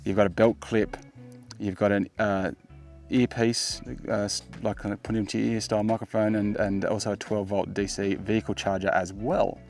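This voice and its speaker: Low-voiced Australian man reviewing gear. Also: darkish tone. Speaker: tech vlogger, product reviewer. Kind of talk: casual product review to camera.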